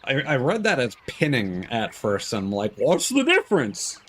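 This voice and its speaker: A man's voice: medium pitch, huge pitch swings, normal speed, fairly bright tone. A man giving casual video game commentary as a live streamer, full of joking, playful asides. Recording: game music behind the voice. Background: video game music.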